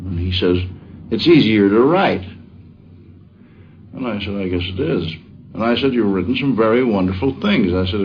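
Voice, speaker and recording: A man reminisces informally in a low voice. He is folksy and halting, quoting old exchanges plainly. The recording is dull, with faint noise behind him. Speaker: former newspaper colleague. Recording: dull, noisy 1950s radio recording.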